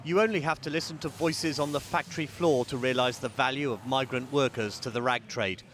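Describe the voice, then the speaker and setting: Guy news reporter, measured, faint street noise behind. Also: medium pitch, a bit bright. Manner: measured, clear delivery. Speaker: political correspondent. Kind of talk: news report voice-over and interviewing.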